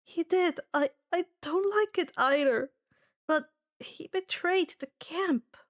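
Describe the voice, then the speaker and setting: Woman voicing a high, timid, stammering young camper. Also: slow. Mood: earnest, uneasy. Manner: hesitant, stammering. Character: timid, earnest young camper, flustered and polite. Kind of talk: audiobook-style character dialogue.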